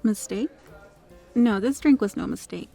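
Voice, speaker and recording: A woman's voice: mid-range voice, lively intonation, a little slow, gravelly, warm tone, husky, heavily acted. A woman playing a kind, protective restaurant regular in an ASMR roleplay, speaking in a polite, articulate, reassuring way. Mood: reassuring, upbeat. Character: kind, well-spoken female restaurant regular, firmly protective. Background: music.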